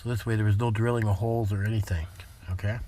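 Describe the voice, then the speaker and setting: A man giving a casual, unscripted DIY walkthrough, explaining step by step in a plain-spoken, halting way. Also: lowish voice, fairly even intonation, dark tone, slight background noise. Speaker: DIY how-to presenter. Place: in or beside a parked pickup truck.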